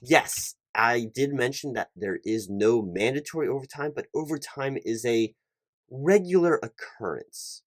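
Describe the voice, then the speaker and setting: A man performing a one-sided job interview roleplay for ASMR as a hiring manager, speaking in a polite, officious, somewhat halting corporate patter. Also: mid-range voice, animated, no echo.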